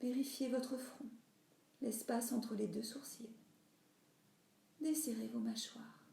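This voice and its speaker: A woman yoga nidra teacher leading a guided relaxation meditation in a soothing, instructive way, with phrases that soften into breathiness. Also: on the high side, steady tone, slow pace, vocal fry. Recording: roomy, reverberant voice recording.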